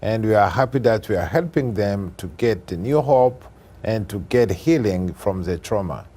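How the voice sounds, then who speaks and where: A man answering interview questions in a slow, earnest, deliberate way. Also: on the low side, expressive, dark tone, breathy edge. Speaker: guest.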